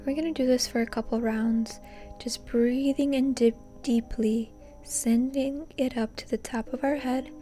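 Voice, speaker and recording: A woman leading a guided meditation in a soft, breathy, often near-whispered voice, calm and gently instructing. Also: high voice, measured pace, faint music behind. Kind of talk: soothing guided meditation narration.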